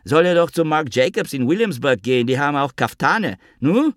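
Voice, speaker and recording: A man's voice: lively intonation, normal speed, fairly bright tone, dry recording. A male narrator doing a pampered, blustering fat man whose voice climbs into a whiny squeal. He pleads, taunts and wheedles in turn. The read is close and dry, with no room around it. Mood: taunting. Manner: whining, wheedling, high and squealing.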